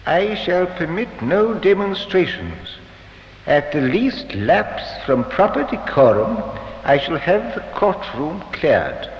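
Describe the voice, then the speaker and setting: Slow, stern, pompous judge. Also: male voice, fairly high pitch, very animated, full character voice. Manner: slow, solemn, declamatory. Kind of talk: 1950s radio drama acting. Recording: echoey, muffled, hissy old radio recording.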